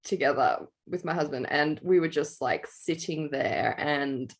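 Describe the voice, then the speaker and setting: A woman's voice: low voice, unhurried. A young adult woman, co-hosting a writing podcast in casual chat, laughing often and telling stories with animation. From Australia. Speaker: podcast co-host.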